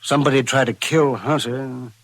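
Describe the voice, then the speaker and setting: A man's voice: medium pitch, fairly even intonation, brisk, fairly bright tone, no echo. An American man in a vintage radio western, playing a crusty, weary old town doctor who talks in a folksy, querulous mutter. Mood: grumbling. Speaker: radio actor.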